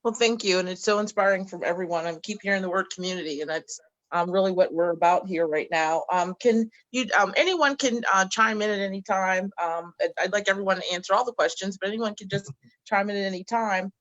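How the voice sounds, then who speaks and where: A woman's voice: mid-range voice, a little fast, fairly bright tone, no echo, muffled recording. Chatty woman host moderating a panel. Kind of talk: moderating a panel on a video call. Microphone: video call.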